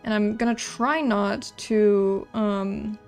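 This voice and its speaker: A woman's voice: medium pitch, steady tone, slow pace, darkish tone, soft background music. A woman talking informally on a livestream while she draws, rambling and often repeating words as she thinks aloud and answers chat. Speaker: livestreamer drawing and designing game characters. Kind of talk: casual livestream chat while drawing, reading out chat messages.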